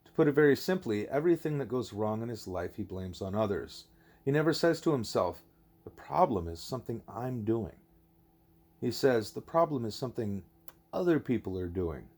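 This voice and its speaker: A man voicing a wise, patient male teacher in an audiobook, speaking in a deliberate, formal, explanatory way. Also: mid-range voice, sing-song, darkish tone. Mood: patient, instructive. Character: wise, patient teacher creature Ishmael, male, measured and didactic.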